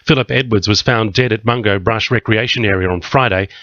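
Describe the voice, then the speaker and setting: A man's voice: fairly low pitch, fairly quick, fairly bright tone, dry recording, dull audio. A man with an Australian accent reading a scripted radio news bulletin.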